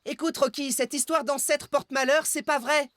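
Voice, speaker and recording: A woman's voice: sits high, fairly even intonation, normal speed, a bit bright. A woman voicing a responsible young boy in a French cartoon, earnest, pleading and slightly exasperated. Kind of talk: French animated cartoon dubbing.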